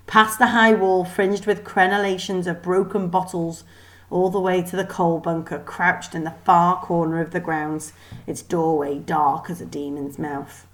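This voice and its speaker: A woman giving an expressive scripted narration of a children's story. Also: fairly low pitch. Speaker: storybook reader.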